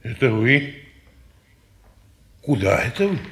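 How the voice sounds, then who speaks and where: An actor playing a flustered, sentimental old gentleman, pompous and stammering, in a 1950s Russian stage drama. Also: mid-range voice, very animated, slow pace, heavily acted. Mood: condescending. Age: older.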